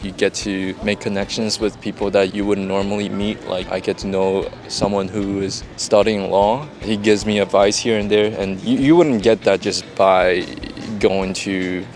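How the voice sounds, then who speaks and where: A man's voice: fairly low pitch, level tone, moderate pace, few pauses, a little mellow. Laid-back joking young man. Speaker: undergraduate student. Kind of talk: casual interview answers. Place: outdoors on a city campus.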